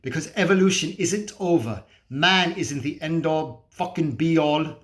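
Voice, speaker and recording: A man's voice: fairly high pitch, unhurried, close to an everyday voice. A man performing a dramatic monologue as a cynical, feverish, scornful apocalyptic drifter, ranting emphatically and preachily with swearing and rhetorical questions. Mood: fervent.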